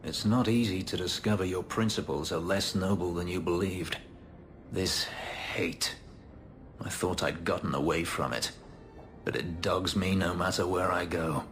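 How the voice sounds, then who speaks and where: A brooding, haunted man who was once enslaved, in a deep voice made for a dramatic video game. His phrasing is formal and halting, heavy and guarded. Faint noise sits behind him. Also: fairly bright tone. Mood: anguished, bitter.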